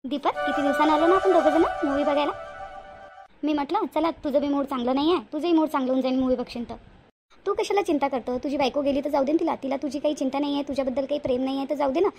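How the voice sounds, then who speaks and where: A woman's voice: high voice, racing, over music. A woman voicing a sweet-talking, scheming young cartoon woman, coaxing and repetitive. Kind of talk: homemade cartoon voice acting.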